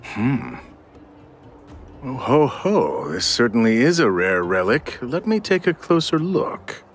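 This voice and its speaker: A man's voice: medium pitch, sing-song, takes it slowly, soft background music. A man voicing a wily old antiques appraiser in a video game, theatrical and wheedling like a salesman. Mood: intrigued.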